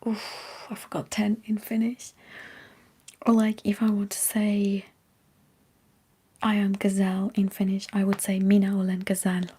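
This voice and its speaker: Female ASMR streamer, mellow and heavily whispered, breathy, unhurried and halting, chatting to live viewers. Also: medium pitch, monotone. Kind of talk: whispered ASMR livestream chat.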